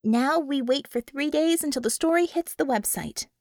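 A woman's voice: sits high, normal speed, a little mellow, dry recording, clean studio sound, voice pushed up. A woman voicing a confident, sly superheroine leader in an audiobook, with an assured, coaxing and emphatic delivery. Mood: frank.

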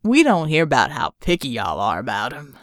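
A gruff Southern US gang leader, as a woman narrator puts him on, pitched down low. He drawls in a folksy, mocking way, sneering through his lines in a dry audiobook recording. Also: huge pitch swings, a little fast, dry recording, exaggerated voice.

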